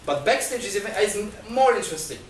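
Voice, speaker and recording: A man's voice: sits high, expressive, quick pace, a little hiss or hum. A man giving a talk at a discussion group, working through numbered points with frequent restarts and repeated words. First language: not Chinese. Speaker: guest speaker. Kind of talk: lecture-style talk. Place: talk venue, indoors. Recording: echoey room, mic at a distance.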